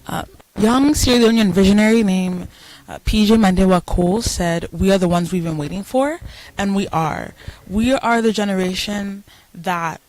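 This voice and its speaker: A woman answering as a panel guest, speaking in a hesitant, halting way with pauses and fillers. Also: mid-range voice, a little mellow, low-quality recording.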